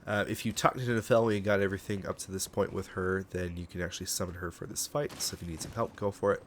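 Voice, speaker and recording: Very deep-voiced man, stuffy from a cold. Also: fast, a little hiss or hum. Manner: relaxed, chatty, frequent ums and chuckles. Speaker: gaming channel host. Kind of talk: casual game walkthrough commentary. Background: game music.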